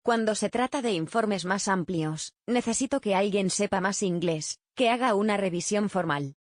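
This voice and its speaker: A woman's voice: mid-range voice, moderate pace, no echo. Woman reading steadily, dry close sound. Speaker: reader of survey answers. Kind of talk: scripted reading.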